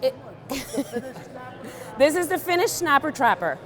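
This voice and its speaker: A young woman with a fairly high voice walks through tying a fly in a deadpan, playful way. She fills her talk with ums and likes. She jokes while she works. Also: a little slow, a crowd in the background. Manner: deadpan, playful, many fillers. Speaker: guest fly tier. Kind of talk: joking fly-tying demo.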